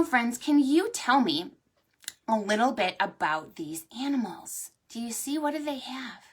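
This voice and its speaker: A woman leads a storytime for preschoolers. Her voice is fairly high and very lively in pitch, with slow, sing-song phrasing as she reads aloud and chats to young children. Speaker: librarian hosting preschool storytime. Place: at home or indoors, livestreamed.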